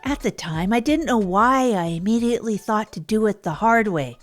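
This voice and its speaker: A woman's voice: mid-range voice, animated, moderate pace, soft background music, lightly acted. A woman playing an earnest, self-effacing radio host who tells her misadventures in a chatty, hesitant, improvised way. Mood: chatty, reflective. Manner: hesitant, rambling, chatty, chuckles at herself. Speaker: narrator and radio show host. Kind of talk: improvised first-person audio drama monologue.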